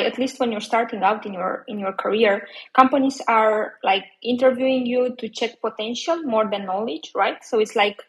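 A woman's voice: fairly high pitch, rough recording. A woman in her thirties from Romania, talking casually as an interview guest, with lots of fillers and restarts. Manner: chatty, many fillers and restarts.

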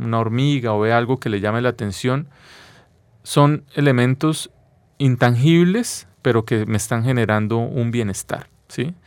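Male Colombian expert guest, mid-pitched, didactic and conversational, very dry recording. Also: smooth, dry recording. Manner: didactic, often checks with a tag question. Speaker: biologist, interview guest. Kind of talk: conversational explanation in an interview.

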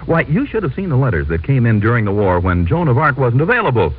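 Animated old-time radio announcer man. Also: medium pitch, fast. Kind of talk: 1940s radio announcing and advertising. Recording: tinny 1940s radio recording.

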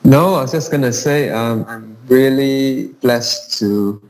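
Earnest gravelly-voiced man. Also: medium pitch, darkish tone, poor audio. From Malaysia. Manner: measured, earnest, with frequent restarts. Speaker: podcast guest. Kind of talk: casual conversation.

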